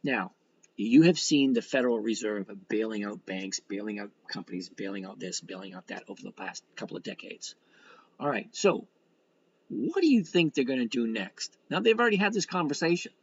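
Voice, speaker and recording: A sixty-year-old man with a gravelly, mid-pitched voice hosts a casual livestream. He rambles in a blunt, folksy way as he fields comments. The sound is a little dull, with faint noise behind him. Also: lively intonation, fairly quick. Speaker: livestream host and stock trader. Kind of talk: casual livestream chat, reading and answering comments.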